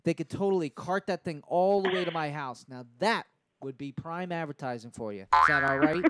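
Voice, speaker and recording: Eager, scheming dad, played by a male prank caller: higher male voice, rambling and pushy, earnest salesman patter, dry sound. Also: brisk, bright, forceful tone, clean tone, dry recording. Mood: eager, persuasive. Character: eager, scheming dad pitching absurd business idea. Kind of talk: improvised prank phone call. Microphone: handheld microphone held close to mouth.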